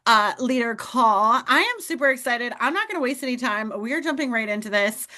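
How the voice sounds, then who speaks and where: A woman's voice: on the high side, expressive, a little fast, sharp tone. A woman hosting a team call, upbeat and enthusiastic, with a motivational manner. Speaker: host.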